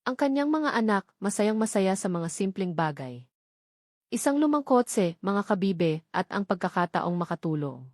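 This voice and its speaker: A woman's voice: medium pitch, very animated, moderate pace, darkish tone, no echo. Woman narrating a story, close and dry. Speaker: audiobook narrator. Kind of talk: scripted dramatic story narration.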